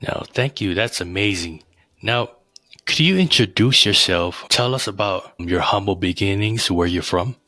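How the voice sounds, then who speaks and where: A podcast host with a low voice and a bright, forceful edge. He moves briskly through his intro and then questions his guest. The recording is dry and close. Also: animated, no echo. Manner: brisk, reads intro quickly. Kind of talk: scripted podcast intro, interviewing.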